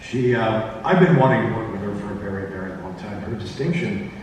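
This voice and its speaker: A man speaking informally as a panelist, halting and digressive, recorded in a reverberant room. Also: fairly low pitch, lively intonation. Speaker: convention panelist, director and actor. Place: comic convention panel room. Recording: echoey hall, distant mic, noisy.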